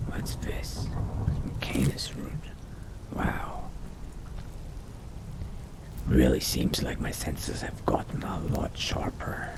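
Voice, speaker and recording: A man voicing a brooding, curious young vampire adventurer in a video game roleplay, musing to himself in a slow, hushed, gravelly whisper. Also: natural voice. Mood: musing, wary. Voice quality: very deep, breathy, gravelly whisper. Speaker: roleplay gamer.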